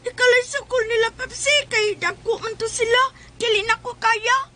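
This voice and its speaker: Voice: very high voice, steady tone, moderate pace, bright, forceful tone. A performer voicing a whiny, complaining young school child with a Cebuano accent in a comedy skit. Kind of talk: comedy radio-style skit in Bisaya.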